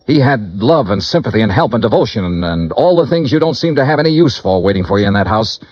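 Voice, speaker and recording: An American actor playing a weary, clipped insurance investigator in a 1950s radio noir drama, narrating his own case in a deadpan way. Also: on the low side, fast, dry recording. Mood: bitter reproach. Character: hard-boiled, weary male insurance investigator. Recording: dull vintage radio recording, music behind.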